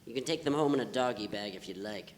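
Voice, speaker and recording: A man's voice: mid-range voice, quick pace, natural voice. Man acting a pompous gloomy poet in a hall. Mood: bitter, sarcastic. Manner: theatrical, florid, declamatory. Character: melancholy, pompous male poet and part-time detective. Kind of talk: staged play reading. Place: live on a theatre stage. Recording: reverberant theatre hall.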